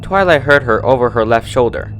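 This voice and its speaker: A man's voice: mid-range voice, brisk, a little hiss or hum. A man narrating a story in a dramatic, scripted reading style. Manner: animated, dramatic.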